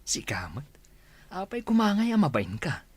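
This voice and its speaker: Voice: medium pitch, wildly swooping pitch, moderate pace, husky, dry recording, exaggerated voice. A performer playing an easygoing village farmer man in an Ilocano radio comedy drama, hesitant and good-natured, with an Ilocano accent. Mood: teasing, mild.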